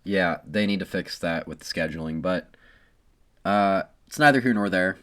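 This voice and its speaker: A low-voiced man co-hosts a casual sports podcast, his voice hoarse from illness. He talks in a loose, teasing way, with plenty of ums along the way. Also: moderate pace, a bit bright. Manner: playful, boastful teasing with frequent ums. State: hoarse from being sick. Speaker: podcast co-host.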